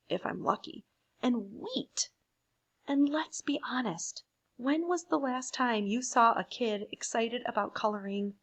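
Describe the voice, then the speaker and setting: A woman's voice: on the high side, a bit bright, husky, full character voice. A woman voicing a proud but slighted beige crayon in a storybook, drawing out words in an aggrieved way. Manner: deliberate, drawn-out, aggrieved.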